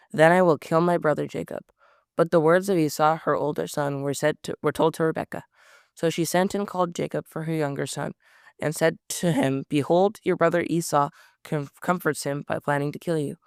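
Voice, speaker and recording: Man, bible reader, smooth yet gravelly voice, dry close sound, occasional self-corrections. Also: on the high side, no echo. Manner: steady reading with frequent stumbles and self-corrections. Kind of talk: reading scripture aloud.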